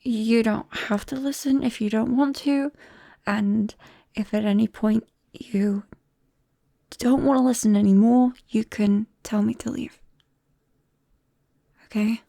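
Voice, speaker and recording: A young British woman acting in an intimate ASMR roleplay as a nervous, affectionate friend with a secret, soft-spoken and hesitant, close to the microphone. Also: a little high, fairly even intonation, slow pace, creaky, pauses often, no room sound. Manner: hesitant, soft-spoken, breaking into whispers and small nervous laughs. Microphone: close-up microphone, dry.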